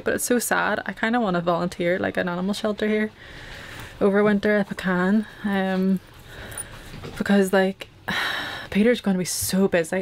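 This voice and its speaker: A woman's voice: medium pitch, gravelly, slight background noise. A young woman vlogging casually from a small boat cabin, chatty and rambling with lots of fillers. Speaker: sailing vlogger. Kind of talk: casual chat to camera. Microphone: handheld camera at arm's length.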